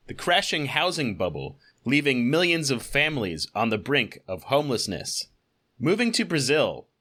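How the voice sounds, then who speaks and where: A man playing a serious, formal news program host reading a measured scripted introduction. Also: medium pitch, pushed and bright.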